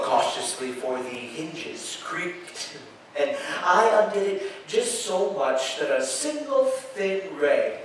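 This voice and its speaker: Echoey, distant, nervous madman. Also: fairly high pitch. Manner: halting, stammering, drawn-out phrases with sudden emphasis. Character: nervous, gloating madman murderer insisting he is sane. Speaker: stage actor performing a dramatic reading. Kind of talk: theatrical gothic horror monologue. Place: live performance space at an amusement park show. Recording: echoey live show, distant mic, faint noise.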